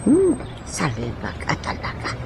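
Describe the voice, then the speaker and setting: A poor, weary roadside beggar mother acted by a woman in a radio drama, with an Ilocano accent. She speaks slowly and plaintively, with wide swings of pitch, over clear background noise. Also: wildly swooping pitch, exaggerated voice. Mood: grumbling.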